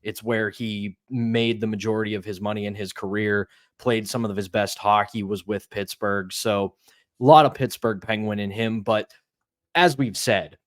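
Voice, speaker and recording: A man's voice: fairly low pitch, steady tone, normal speed, no room sound. Man in his thirties, dry close sound. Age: 30s. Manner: conversational, opinionated. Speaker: podcast co-host. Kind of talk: casual sports commentary.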